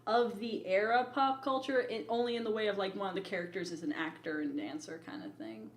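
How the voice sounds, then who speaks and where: A woman's voice: medium pitch, fairly quick. A talkative, opinionated woman co-hosting a podcast, giving informal film commentary in casual conversation. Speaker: podcast co-host reviewing films. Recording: echoey, roomy sound.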